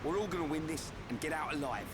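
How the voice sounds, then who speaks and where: A man's voice: on the high side, quick pace, background noise, lightly acted. A man playing a brash loyal young fighter. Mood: confident, blunt. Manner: blunt, confident.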